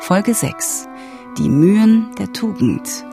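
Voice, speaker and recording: A woman reading a scripted radio introduction and summary as announcer, with a husky, mellow voice. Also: medium pitch, sing-song, slow pace. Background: faint music.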